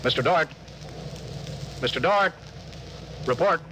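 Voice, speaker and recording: An actor playing a stern, authoritative starship captain in a 1950s radio drama, speaking in a clipped, commanding way. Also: male voice, a little high, animated, takes it slowly, bright, forceful tone, soft background music, full character voice. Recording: noisy old 1950s radio broadcast.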